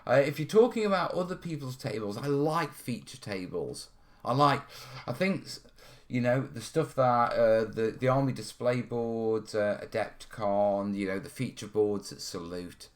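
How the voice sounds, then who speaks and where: A man's voice: mid-range voice, moderate pace. A man from North Wales hosting a live hobby stream, chatting casually and answering questions in an informal, rambling way. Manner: informal, rambling, often checking in with "yeah" and "you know". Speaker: host of a terrain-modelling hobby stream. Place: studio.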